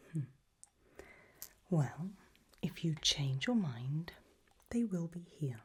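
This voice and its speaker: Casting: woman to play elegant, macabre gothic matriarch for ASMR roleplay; low, breathy whisper, gracious and genteel. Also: a little mellow, exaggerated voice. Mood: gracious, darkly alluring. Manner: languid, formal, genteel.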